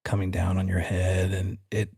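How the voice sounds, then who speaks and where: Mellow, husky man running a game. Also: deep voice, completely flat delivery, moderate pace, no echo, studio quality. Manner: conversational narration mixed with rules calls. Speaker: game master. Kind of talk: tabletop horror game narration.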